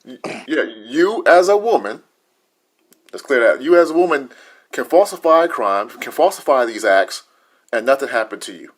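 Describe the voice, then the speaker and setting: A man's voice: medium pitch, sing-song, normal speed, slightly rough. A man with an American accent co-hosting a podcast in casual, colloquial talk, often checking that listeners agree with him. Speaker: podcast co-host. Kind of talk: casual opinionated panel talk.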